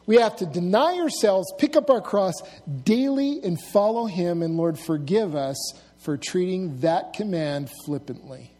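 High-voiced preaching man in a reverberant hall. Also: measured pace, faint music behind. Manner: dramatic shifts between loud emphasis and hushed, low-voiced intensity; storytelling with humor, drawing laughs. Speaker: pastor. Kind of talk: sermon.

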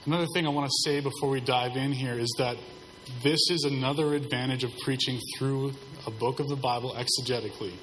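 A hoarse man preaching a sermon as pastor, keeping a measured, subdued delivery to spare his voice. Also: medium pitch, fairly even intonation, fairly bright tone. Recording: hall reverb, faint background noise.

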